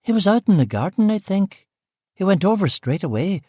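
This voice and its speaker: An irritated middle-aged woman from West Belfast, the house cook, answering in short, wary bursts, voiced by a man reading the story. Her voice sits high, with a curt, grudging edge on every reply, set in a dry, close storybook recording. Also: sing-song, normal speed, warm tone, breathy edge, dry recording. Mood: guarded. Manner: curt, guarded replies. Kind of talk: audiobook character voice.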